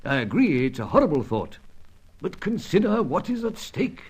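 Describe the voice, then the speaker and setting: An older gentleman spymaster in a radio serial. His pitch moves freely as he speaks in pompous, understated phrases with hesitant little ums. He is ruthless under a jovial, courteous surface. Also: big pitch swings, moderate pace, slightly rough, exaggerated voice. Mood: grave, coolly persuasive. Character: urbane older British spy chief, ruthless yet jovial. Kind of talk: old-time radio serial acting.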